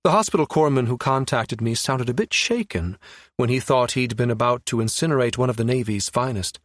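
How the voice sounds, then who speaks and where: Villain role, male, read by a male narrator: calculating, smug, quietly mocking, measured menace, audiobook style. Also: medium pitch, no echo, studio quality, natural voice. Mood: coolly menacing.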